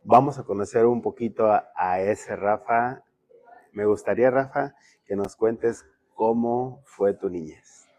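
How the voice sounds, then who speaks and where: A man's voice: lowish voice, measured pace, darkish tone, dry recording, muffled recording. A man from Mexico hosting a podcast interview, friendly and full of questions, often restarting his words. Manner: friendly, asks many questions, repeats words when restarting. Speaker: podcast host. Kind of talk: casual interview.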